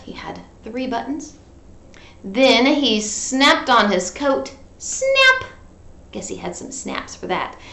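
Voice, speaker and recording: Woman, high voice, children's read-aloud, playful and interactive, slow pace, echoey room. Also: fairly bright tone. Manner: playful, interactive, coaxing listeners to echo. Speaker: teacher reading a picture book to children. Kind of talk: animated children's read-aloud with sung refrains and asides.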